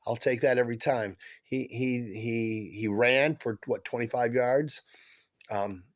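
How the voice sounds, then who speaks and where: A man hosting a casual sports podcast, talking with a stuffed-up head cold, halting with many fillers and restarts as an excited fan. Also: medium pitch. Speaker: podcast host.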